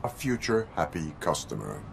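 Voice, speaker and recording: A man playing a stern cyborg police officer in a video game, speaking formally with mechanical, deadpan phrasing. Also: very deep voice, normal speed, creaky, background noise, exaggerated voice. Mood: dry.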